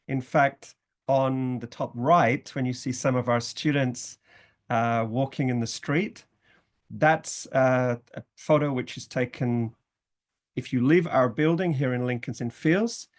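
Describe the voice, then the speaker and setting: A middle-aged man with a British accent, speaking as a law professor who introduces his programme. He talks in measured phrases, pausing now and then on small fillers. His pitch sits in an ordinary middle range for a man. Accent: British English. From Britain, family from Scotland, raised in England. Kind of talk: presenting a university programme.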